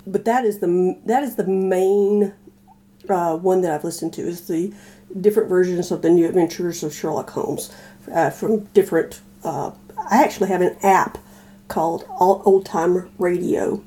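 Mellow-voiced woman, casual podcast guest. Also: fairly low pitch, steady tone, normal speed, a little hiss or hum. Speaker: podcast guest, old-time radio fan. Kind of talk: casual conversation.

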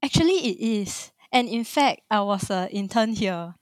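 A woman's voice: mid-range voice, lively intonation, normal speed, no echo. A young woman from Singapore with a Singaporean English accent, chatting cheerfully as a podcast guest and laughing often. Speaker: guest, Amazon account manager. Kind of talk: casual interview chat.

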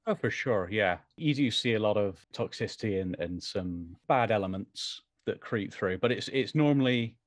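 A man's voice: fairly low pitch, animated, moderate pace, hardly any pauses, no room sound, studio quality. A man answering as an interview guest in a conversational, considered and diplomatic manner.

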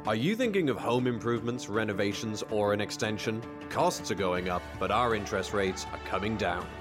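A man's voice: fairly low pitch, animated, a little fast. An Irish man reading a scripted radio advertisement. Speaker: advertisement voice-over. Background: music bed.

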